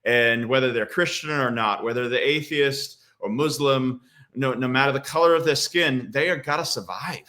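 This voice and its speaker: Australian-born director guy, bright tense voice, thoughtful, keeps restarting his sentences. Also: medium pitch, moderate pace. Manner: earnest, reflective, with repeated restarts. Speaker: film director, guest. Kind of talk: interview answers.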